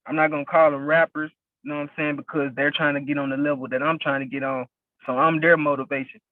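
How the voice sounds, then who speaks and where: A man's voice: a little high, little pitch movement, quick pace, dry recording. A young man from West Memphis, a rapper and label owner, talking casually as an interview guest and leaning on "you know what I'm saying" over and over. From West Memphis, Arkansas. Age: young adult. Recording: tinny phone-like, very dry.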